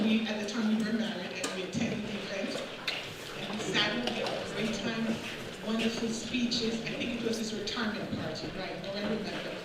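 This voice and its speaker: Woman, memorial speech, storytelling, heard from a distance, very echoey room, loud background noise. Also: on the high side. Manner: warm storytelling, laughs while telling. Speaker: family member speaking at a memorial gathering. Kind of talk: personal anecdote at a repass. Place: restaurant dining room.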